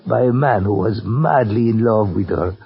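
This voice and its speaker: A husky, creaking old man, fawning and sinister, speaks in halting, insinuating phrases. It is theatrical 1940s radio drama on a muffled old recording. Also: fairly low pitch, heavily acted. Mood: sly, conspiratorial. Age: older. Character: odd, fawning, sinister old prop keeper, fiercely devoted. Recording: muffled old radio broadcast, faint music.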